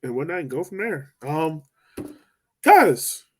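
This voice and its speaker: A man's voice: a little high, big pitch swings, slow, darkish tone, a little hiss or hum. A man hosting a casual sports podcast, often repeating words as he thinks aloud. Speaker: podcast host.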